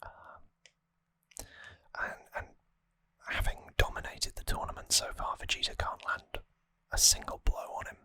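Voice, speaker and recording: An ASMR host whispering an explanatory recap in a hushed, unhurried manner, close to the microphone. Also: low-quality recording.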